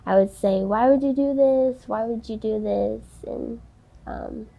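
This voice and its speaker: Young woman, nineteen, slow halting speech, soft-spoken, lots of ums and likes. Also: fairly high pitch, vocal fry, dull audio. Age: young adult. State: tearful, voice breaking. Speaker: interviewee. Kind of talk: candid interview.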